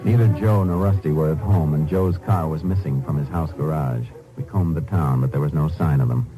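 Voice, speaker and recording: An actor playing a calm, commanding Texas Ranger who investigates and narrates in a terse, dry, authoritative way in a 1950s radio crime drama. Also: male voice, a bass voice, brisk, over music, rough recording. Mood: matter-of-fact. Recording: old 1950s radio recording.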